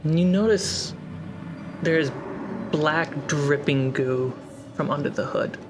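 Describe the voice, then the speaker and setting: A game master narrates a tabletop fantasy game. The voice sits at a middle pitch, building scenes in short, halting, descriptive phrases. Soft music runs underneath.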